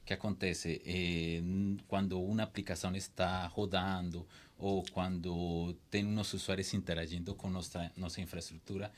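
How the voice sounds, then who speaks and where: A man, a guest on a tech panel, explaining calmly with small hesitations. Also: deep voice, normal speed, few pauses. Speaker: guest expert. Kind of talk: casual panel discussion.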